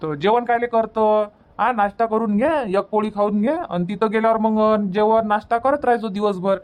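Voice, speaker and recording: A man's voice: high voice, fairly even intonation, moderate pace, clean tone, natural voice. A man playing a placating, argumentative village husband in a cartoon comedy, in colloquial Varhadi Marathi, repeating himself to make his point. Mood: impatient. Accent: Varhadi Marathi from Vidarbha.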